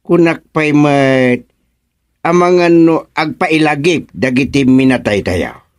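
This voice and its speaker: Stubborn village husband, Ilocano Filipino accent, slow drawn-out exclamations, higher-pitched, radio comedy-drama. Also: male voice, no room sound, clean studio sound. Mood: grave, insistent. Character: elderly village father and husband, bewildered, stubborn.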